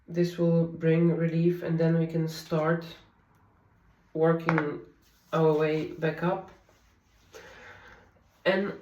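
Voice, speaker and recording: A woman in her thirties whose first language is Polish, speaking in a soft, candid, confiding way in a personal diary vlog at home. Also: low-pitched, echoey room, distant mic, muffled recording. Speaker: vlogger. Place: home, at night.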